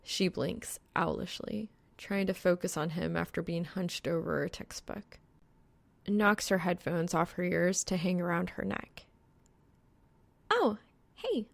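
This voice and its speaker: A woman's voice: lowish voice, fairly even intonation, measured pace, vocal fry, dry recording. A woman narrating a scripted story as an audiobook, in a wry, informal manner.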